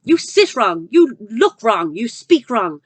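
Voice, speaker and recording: Woman reading as a giant bully of a headmistress, barking and sneering, loud and harsh, lively swings in her voice, dry close sound. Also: a little fast, no room sound, close to an everyday voice. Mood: roaring. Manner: barking, booming and contemptuous. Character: huge bullying middle-aged headmistress, cruel and scornful.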